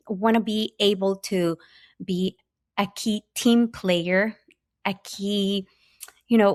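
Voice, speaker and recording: A woman with an Ecuadorian Spanish accent, a physician scientist telling her story in an interview, earnest and full of small restarts. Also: on the low side. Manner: earnest, many fillers and restarts. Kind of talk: personal storytelling in conversation.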